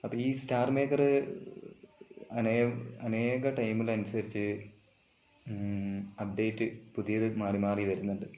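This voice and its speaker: A man from Kerala, giving an informal step-by-step tutorial in halting, short phrases. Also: lowish voice, level tone, a little mellow, highs cut off. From Kerala, India. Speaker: amateur vlogger giving an app tutorial.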